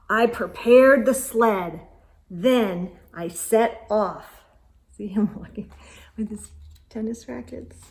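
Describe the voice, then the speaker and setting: Female children's read-aloud host. Higher voice, very measured pace, expressive delivery with hushed asides to young listeners. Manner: expressive, gasps and whispered asides.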